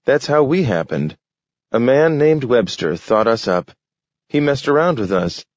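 A man voicing a gentle, idealistic male dog who can talk, pleading in a raised, eager voice. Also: mid-range voice, sing-song, a little slow, no echo, pitched above the performer's own voice. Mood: earnest. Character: earnest, gentle young male talking dog. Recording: dull, dry recording.

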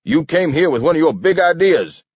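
A man voicing a hot-tempered, blustering alderman in a story, sputtering and shouting. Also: on the high side, expressive, normal speed, slightly rough, no room sound, highs cut off, pitched above the performer's own voice. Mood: angry demanding.

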